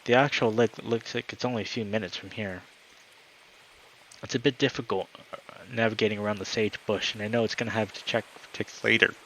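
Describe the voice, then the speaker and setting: A man's voice: on the low side, fairly quick, slight background noise, low-quality recording. A man speaking as a live streamer, moving between friendly chat and reading a story aloud. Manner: upbeat, friendly, excitable when greeting viewers.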